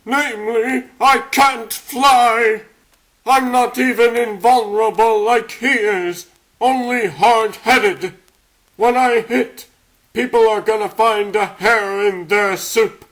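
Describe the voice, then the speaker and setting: A man's voice: high-pitched, a bit bright, poor audio. A man voicing a plucky, sarcastic little talking rabbit superhero in a cartoon comic dub. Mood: wry, musing. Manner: sarcastic, chatty, quipping. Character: wisecracking, plucky three-foot talking rabbit superhero, male.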